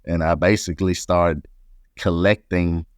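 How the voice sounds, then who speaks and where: A man's voice: low-pitched, steady tone, measured pace, clean tone, no room sound, clean studio sound. A man from Atlanta, Georgia, speaking as an interview guest and reflectively telling his own story. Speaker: interview guest, company president.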